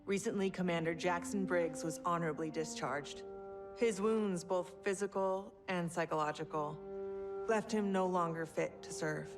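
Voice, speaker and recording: An actress playing a stern middle-aged general in a video game, with an American accent and a clipped, authoritative, formal delivery. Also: female voice, on the low side, normal speed, over music, natural voice. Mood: formal, commanding. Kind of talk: dramatic video game acting.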